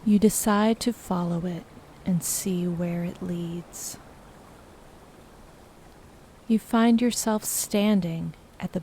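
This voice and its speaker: Casting: female meditation guide, unhurried and breathy, lowish voice with heavy creak, soft half-whispered narration. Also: slight background noise. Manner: soft, slow, partly whispered.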